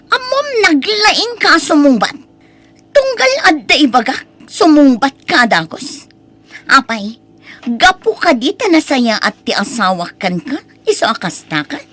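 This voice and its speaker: A woman's voice: high voice, sing-song, unhurried, fairly bright tone, faint music behind, heavily acted. A woman with an Ilocano Filipino accent playing a stern, nagging wife in an audio drama, scolding and emphatic. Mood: angry, accusing. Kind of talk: radio-style audio drama acting.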